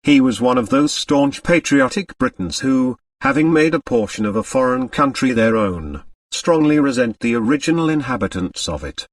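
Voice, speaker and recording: A man's voice: mid-range voice, animated, normal speed, few pauses, no echo, recorded from a distance. A man reading scripted literary narration as an audiobook narrator.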